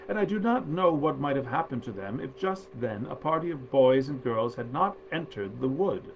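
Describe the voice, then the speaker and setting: A man storyteller reading a literary tale aloud in a measured, theatrical and genteel manner. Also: mid-range voice, a little mellow, a little hiss or hum, poor audio.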